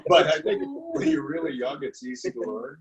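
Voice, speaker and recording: A man's voice: mid-range voice, lively intonation, fairly quick, a loud crowd. A man from the Netherlands hosting a casual interview, chatting in a friendly way and often affirming the guest. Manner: friendly, with many "you know" fillers and frequent affirmations. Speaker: podcast host.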